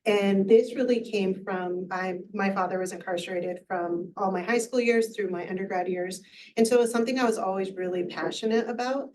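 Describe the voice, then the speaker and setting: A woman's voice: medium pitch, a little fast, darkish tone, recorded from a distance, rough recording. A woman in her thirties, a researcher on a panel, speaking candidly with casual fillers. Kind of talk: panel discussion.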